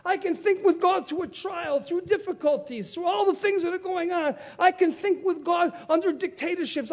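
An older male preacher with a very high voice delivers a sermon. He repeats key phrases for emphasis and throws out questions for the congregation to answer back. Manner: call-and-response, emphatic repetition.

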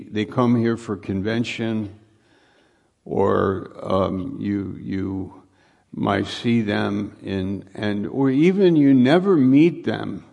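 Guy pastor chatting to his congregation, real slow and gravelly, kinda halting, repeats himself, throws out questions. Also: on the low side, a little mellow. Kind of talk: informal sermon, welcoming guests to congregation. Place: live church service.

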